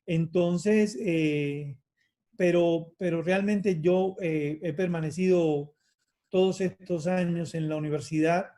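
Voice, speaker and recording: A man's voice: fairly high pitch, fairly even intonation, mellow. A man, a university lecturer giving a reflective talk, full of fillers and restarts. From Cuba. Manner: hesitant, many fillers and restarts; measured and reflective. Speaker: university lecturer in physical education. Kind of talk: academic conference talk.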